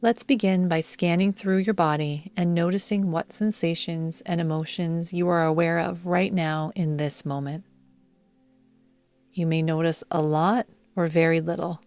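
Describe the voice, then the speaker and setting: A woman guiding a yoga nidra meditation in a soothing, measured manner, leaving long pauses between phrases. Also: fairly low pitch, fairly even intonation, a little mellow. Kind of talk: guided meditation narration. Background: background music.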